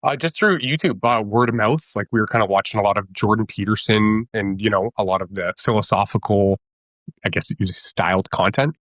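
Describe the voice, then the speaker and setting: Low-voiced man, casual caller. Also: a little fast, fairly bright tone, dry recording, rough recording. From Canada. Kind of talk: casual chat.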